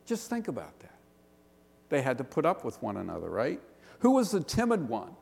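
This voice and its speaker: Male pastor, Western Pennsylvania accent, gravelly, preaching in a reverberant church hall. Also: medium pitch, wildly swooping pitch, a little mellow. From Pittsburgh area, Western Pennsylvania. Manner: earnest preaching with rhetorical questions and slow emphatic lists. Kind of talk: sermon. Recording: long reverb of a large hall.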